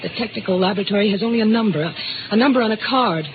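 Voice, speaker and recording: An actress playing an anxious, hesitant American receptionist in a 1950s radio drama. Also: female voice, mid-range voice, racing, close to an everyday voice. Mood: troubled. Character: anxious young female doctor's receptionist, distraught and hesitant. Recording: tinny, noisy old radio recording.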